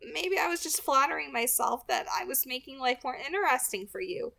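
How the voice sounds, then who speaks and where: A woman's voice: high-pitched, lively intonation, brisk, gravelly, bright, forceful tone, voice pushed up, heavily acted. Woman reading as a composed, protective vampire girl. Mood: soft, explaining.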